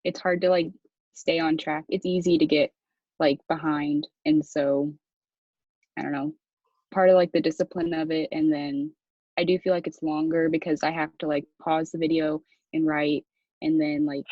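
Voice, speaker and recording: A woman's voice: deep voice, level tone, moderate pace, mellow, dry recording. A woman, a student, talking hesitantly on a video call and filling her talk with "like". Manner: hesitant, many likes. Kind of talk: casual discussion. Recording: dull lo-fi sound.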